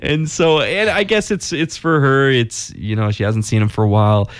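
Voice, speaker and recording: A man's voice: mid-range voice, moderate pace, clear, resonant tone, no room sound, studio quality. A man hosting a radio show in casual, chatty banter, talking conversationally to a co-host and listeners. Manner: conversational, chuckling, addresses listeners.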